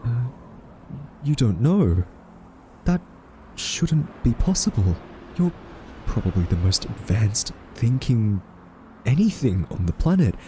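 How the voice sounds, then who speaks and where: A man playing a timid, quirky scientist in an audio roleplay, soft-spoken, stammering and awkwardly polite. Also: medium pitch, sing-song, slow pace, pauses often, slight background noise. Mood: baffled, hesitant. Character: timid, quirky male scientist held captive by a rogue AI. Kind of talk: audio roleplay acting addressed to listener.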